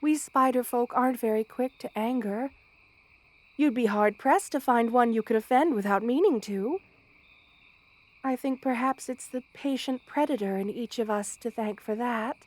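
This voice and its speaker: High-voiced, motherly spider queen played by a woman. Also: close to an everyday voice. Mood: tender, reflective. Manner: formal, measured, tender, soothing phrasing. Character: motherly, gentle two-hundred-year-old spider queen monster woman. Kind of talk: ASMR roleplay, intimate scripted monologue to listener.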